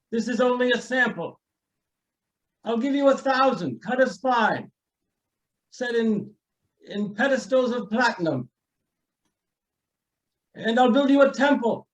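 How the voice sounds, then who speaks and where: Far-off, high-voiced imperious patriarch, played by a man. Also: slow pace, pauses often. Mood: grandiose pleading. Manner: commanding, grandiose, declaiming. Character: imperious rich patriarch bargaining grandly with the heavens. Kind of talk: radio play acting. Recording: distant, dull lo-fi sound.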